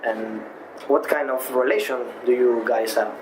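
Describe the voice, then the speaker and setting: Male interviewer, mid-pitched, hesitant phrasing, noisy surroundings. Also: steady tone. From Italy. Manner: brief backchannel replies, halting questions. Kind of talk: interview questions. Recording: background noise.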